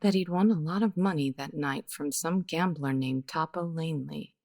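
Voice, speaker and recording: A woman playing a weary, guarded, bittersweet widow in the style of a 1950s radio detective drama, speaking in a measured, hesitant way. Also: sits low, darkish tone. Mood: subdued, resigned.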